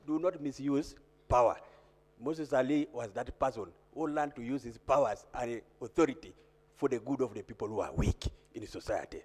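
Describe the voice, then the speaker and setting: A man with a Ugandan accent speaking as a member of parliament, making a measured, exhorting formal speech. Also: mid-range voice, darkish tone, slightly rough. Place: large parliamentary chamber. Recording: echoey hall with long reverb.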